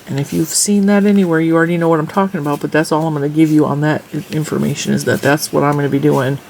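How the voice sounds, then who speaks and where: A woman with a stuffy, congested nose talks casually while she crochets and counts off her stitches and rows. Her voice has a gravelly fry, and a faint hiss of noise sits behind it. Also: low voice, darkish tone. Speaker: crochet tutorial host. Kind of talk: casual crochet tutorial with chat.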